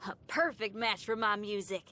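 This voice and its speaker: A woman's voice: medium pitch, moderate pace, pushed and bright, natural voice. A woman playing a brash, upbeat, free-spirited young female rock musician in a video game, with a folksy, slangy and enthusiastic way of talking. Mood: chatty, expressive. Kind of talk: video game character voice lines.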